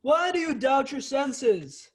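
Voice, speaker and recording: A young performer playing the solemn ghost of a dead business partner in amateur readers' theatre, speaking deliberately. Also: high-pitched, big pitch swings, full character voice.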